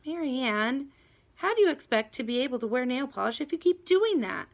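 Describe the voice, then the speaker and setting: A woman voicing an impulsive, outspoken seventh-grade girl in a children's audiobook, expressive and emphatic. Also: sits high, normal speed, voice pushed up. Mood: friendly scolding.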